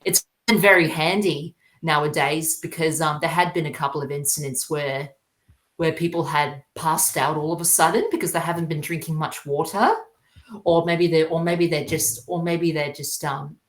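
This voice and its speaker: A woman chatting casually as a podcast guest, halting and often repeating her phrases. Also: deep voice, steady tone. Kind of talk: casual chat. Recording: roomy, echoey sound.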